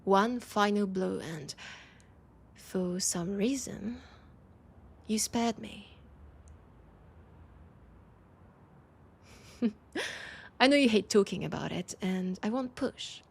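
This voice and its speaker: A woman with a French accent playing a teasing, nostalgic, centuries-old vampire in an intimate audio roleplay, her breathy voice often dropping to a whisper. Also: mid-range voice, animated, takes it slowly, pauses often, exaggerated voice. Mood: playful, tender. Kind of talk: intimate audio roleplay, one-sided dialogue.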